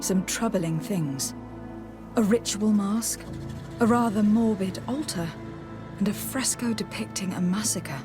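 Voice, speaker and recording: An actress playing an earnest, composed young noblewoman in a video game, speaking gravely and carefully. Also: mid-range voice, unhurried, over music, lightly acted. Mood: troubled.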